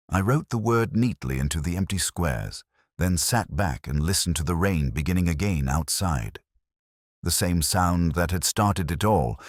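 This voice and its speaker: A man performs a melancholy middle-aged police inspector narrating his own detective drama. His voice is extremely deep and moves expressively up and down. The delivery is measured, reflective and literary, recorded close and dry. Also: no echo, full character voice. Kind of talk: first-person scripted detective drama narration.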